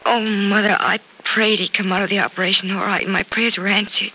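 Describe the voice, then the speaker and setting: Injured daughter, earnest and eager, very bright voice, vintage radio melodrama, slightly noisy recording. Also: female voice, medium pitch, level tone, fairly quick. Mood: earnest, hopeful. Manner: earnest, emotional delivery. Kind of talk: 1940s radio soap opera acting.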